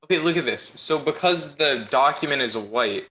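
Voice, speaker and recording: A man's voice: medium pitch, lively intonation, normal speed, pushed and bright. A young man chatting casually on a video call. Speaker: student. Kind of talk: casual chat. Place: at a computer with two monitors, on a video call.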